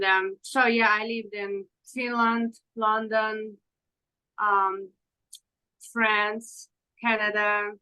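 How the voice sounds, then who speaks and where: Slow-talking woman, bright voice. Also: medium pitch, low-quality recording. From Ukraine. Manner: halting non-native English with fillers. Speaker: fashion designer guest. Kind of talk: casual interview answers.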